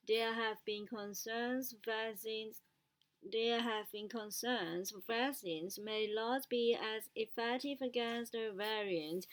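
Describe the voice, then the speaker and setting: A woman who is a native Chinese speaker learning English, reading news aloud haltingly and repeating words to practise. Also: a little high, poor audio.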